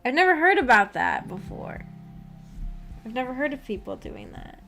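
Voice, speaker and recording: A woman with a somewhat high-pitched voice hosts a casual livestream chat. She rambles along in a self-deprecating, giggly way. Light music plays underneath her voice. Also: big pitch swings, normal speed, fairly bright tone. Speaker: livestream host chatting with viewers.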